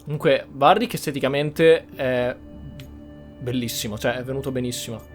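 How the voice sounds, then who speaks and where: A man's voice: medium pitch, brisk. A man giving casual, conversational and opinionated commentary as he plays through a game. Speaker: gaming channel host. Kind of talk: casual let's-play commentary. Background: faint game music.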